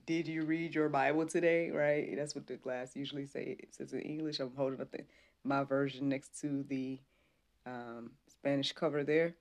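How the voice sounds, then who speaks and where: Low voiced lady doing a casual faith podcast, informal, lots of praise and little interjections. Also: little pitch movement, normal speed, a little mellow. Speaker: podcast host. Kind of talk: casual faith-based livestream chat.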